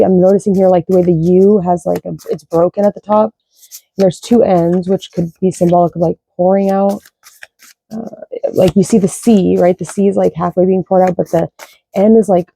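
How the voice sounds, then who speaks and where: A woman with a low voice, chatting loosely and rambling as she reads cards, swearing and thinking aloud. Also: gravelly, dark tone, poor audio. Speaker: card reader. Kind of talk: casual rambling spiritual chat.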